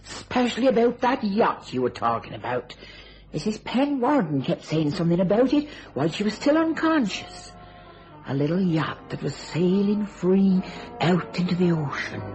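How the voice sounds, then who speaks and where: A woman's voice: fairly low pitch, sing-song, normal speed, darkish tone, soft background music, rough recording. An actress playing a concerned, chatty local woman in an old-time radio thriller. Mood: concerned, puzzled.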